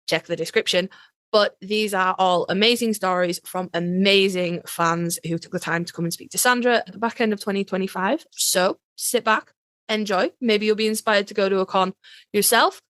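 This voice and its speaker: A woman's voice: medium pitch, a bit bright, no room sound. Dry-sounding, casual woman podcast co-host. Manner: informal, direct address to listeners. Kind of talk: casual podcast presenting and banter.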